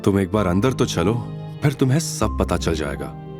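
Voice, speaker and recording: A man playing a caring, self-assured young man in an audio drama, speaking gently. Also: low voice, big pitch swings, normal speed, music in the background. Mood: calm, assured.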